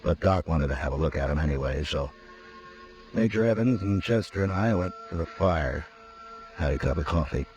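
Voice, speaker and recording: An actor playing a steady, grim middle-aged frontier lawman, narrating a 1950s radio drama in a measured, plain-spoken way. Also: rumbling low, a little hiss or hum. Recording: dull old radio broadcast recording.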